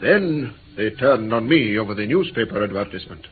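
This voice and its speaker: Actor as earnest, shaken interpreter, tinny radio sound. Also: male voice, fairly low pitch, lively intonation, a little fast, close to an everyday voice. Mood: earnest recounting. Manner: earnest, hesitant, storytelling. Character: Mr Milas, male interpreter, shaken, earnest kidnap survivor. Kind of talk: vintage radio drama acting. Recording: tinny, noisy vintage radio recording.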